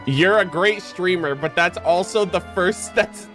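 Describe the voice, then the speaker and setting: A man's voice: high voice, measured pace, fairly bright tone, soft background music. A man live streaming a game, chatting informally and jokingly with his viewers in slangy language. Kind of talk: casual game streaming chat.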